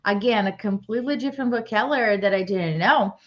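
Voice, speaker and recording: A woman's voice: medium pitch, fast, highs cut off. A woman from Brazil, earnestly telling her story as an interview guest.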